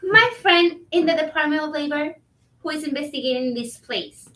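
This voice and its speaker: In a roomy, distant recording, an actress plays an indignant female restaurant worker in a stage play. Her voice is high and bright, and she argues firmly and confrontationally. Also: normal speed. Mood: defiant. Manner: firm, confrontational. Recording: roomy echo, distant microphone.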